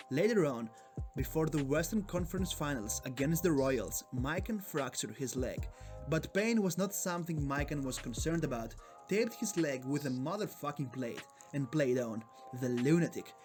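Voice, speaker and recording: A man's voice: a little high, darkish tone. A man from Greece with a Greek accent, narrating a sports video in a hyped, joking, profane way. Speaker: sports YouTuber.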